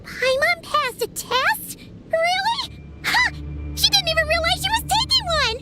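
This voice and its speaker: A woman voicing a tiny, naive, excitable fairy companion in a video game, squeaky and childlike, full of exclamations. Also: measured pace, very noisy. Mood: indignant. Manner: exclamatory, refers to herself in third person. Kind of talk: animated video game voice acting. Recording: loud game music behind voice.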